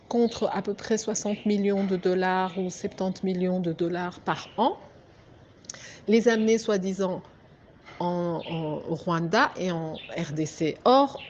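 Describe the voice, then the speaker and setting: A woman's voice: mid-range voice, a little mellow, slight background noise. Emphatic woman on a lo-fi recording. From DR Congo. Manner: emphatic and pleading, often checks in with "ok?". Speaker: political activist. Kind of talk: political monologue addressed to viewers. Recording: dull lo-fi recording.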